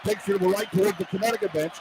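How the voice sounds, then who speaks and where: A man's voice: sits high, very fast. A man doing live radio basketball play-by-play in clipped running phrases that build with the action. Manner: clipped running phrases, voice rising to shouts on big plays. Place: courtside in a college basketball arena. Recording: live arena broadcast with background noise. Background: arena ambience, faint noise.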